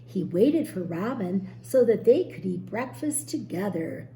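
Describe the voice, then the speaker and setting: A woman teacher reading a storybook aloud expressively to young children, pausing to explain and ask questions. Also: medium pitch, animated, warm tone. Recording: roomy echo, faint background noise.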